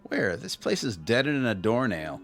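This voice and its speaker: A man's voice: mid-range voice, very animated, normal speed, gravelly, a bit bright, faint music behind. An actor playing a casual, easygoing, slangy man in a radio drama. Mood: easygoing, puzzled. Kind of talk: old-time radio drama acting.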